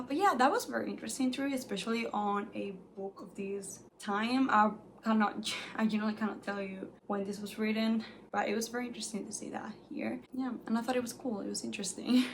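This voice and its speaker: A young woman whose first language is Spanish, chatting casually about books she has read, animated and full of "like"s. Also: medium pitch, vocal fry, a little hiss or hum. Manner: chatty and animated, many "like"s, light laughs. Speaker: book reviewer. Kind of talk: casual chat to camera.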